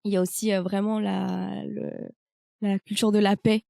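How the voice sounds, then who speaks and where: Mellow-voiced young woman, halting and hesitant. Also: mid-range voice, little pitch movement, creaky, no echo, studio quality. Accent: French. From France. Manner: hesitant, many fillers. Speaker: guest. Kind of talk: casual conversation.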